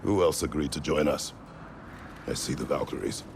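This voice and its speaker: A grim old war god, speaking in terse, grave words. The voice sits extremely deep and rough with gravel. It comes from game audio with a steady noise bed behind it. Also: male voice, normal speed. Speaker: video game character.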